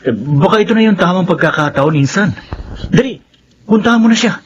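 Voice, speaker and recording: An actor playing a supportive cousin, urging and encouraging, in a radio drama. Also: male voice, high-pitched, expressive, fairly quick, muffled recording, slight background noise. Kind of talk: Filipino radio drama acting.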